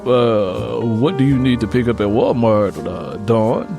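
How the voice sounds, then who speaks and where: A man's voice: mid-range voice, unhurried, gravelly, music in the background. A man voicing a hesitant, apologetic, henpecked husband in an audiobook story.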